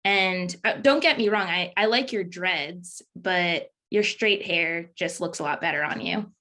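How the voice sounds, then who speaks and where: A woman's voice: lowish voice, a little slow, pushed and bright. A woman speaking as a panelist, telling a story hesitantly with many ums and small laughs. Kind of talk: candid panel talk.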